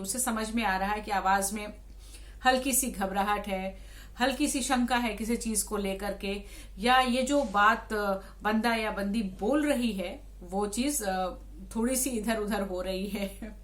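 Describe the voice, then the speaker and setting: A woman's voice: mid-range voice, a little slow. A woman talking to viewers in an explanatory, conversational vlog style, restating points for emphasis. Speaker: radio jockey and vlogger.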